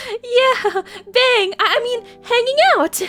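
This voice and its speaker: A woman's voice: extremely high pitch, takes it slowly, sharp tone, soft background music, full character voice. A woman playing a flustered, lovestruck young cartoon pony princess, stammering and gushing in an audio drama. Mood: flustered, smitten.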